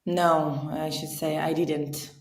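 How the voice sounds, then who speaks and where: A woman's voice: low voice, little pitch movement, slow, echoey room. A woman in her thirties from Iran, with an Iranian accent, speaking English as a second language as an interview guest. She talks earnestly and often restarts her sentences while she searches for words. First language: Persian. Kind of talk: casual interview conversation.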